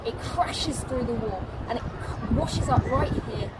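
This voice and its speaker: A woman's voice: a little high, unhurried. A woman presenting a travel piece on location outdoors, with a theatrical storytelling manner. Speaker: presenter, audio drama writer and director. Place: outdoors in a seaside town. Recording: loud outdoor noise, traffic, sometimes distant.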